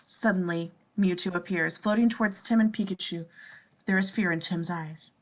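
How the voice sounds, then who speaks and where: A woman's voice: on the low side, moderate pace, poor audio. A woman reading scene descriptions as narrator in a table read of a script.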